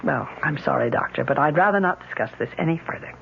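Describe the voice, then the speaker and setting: A lively, expressive widow in a vintage radio play. She is trusting, mannerly and quick to defend herself. The sound is thin, narrow and a little noisy. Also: deep voice, creaky, exaggerated voice. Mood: defensive. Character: trusting, defensive widow, a well-mannered lady easily flustered. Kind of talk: old-time radio drama acting.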